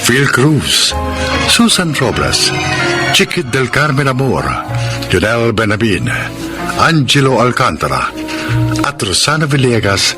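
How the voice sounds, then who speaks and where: Casting: man as radio drama announcer, measured credit reading with expressive pitch over very loud music. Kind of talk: scripted closing narration and credits.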